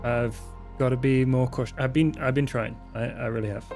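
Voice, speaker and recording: A man's voice: mid-range voice, fairly even intonation, fairly quick, vocal fry, over music, lightly acted. A man playing a casual young fledgling vampire, slangy and offhand, with hesitant phrasing. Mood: sincere, uneasy.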